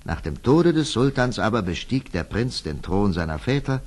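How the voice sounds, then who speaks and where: A man's voice: on the low side, sing-song, normal speed, a little mellow. A man narrating a scripted fairy tale as a dramatic storyteller.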